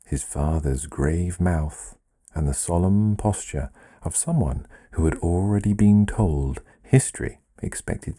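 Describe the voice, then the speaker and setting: A man reading a literary fairy tale in a measured, dryly wry manner with deliberate pauses. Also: deep voice, warm tone, breathy edge. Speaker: storyteller reading own written fable.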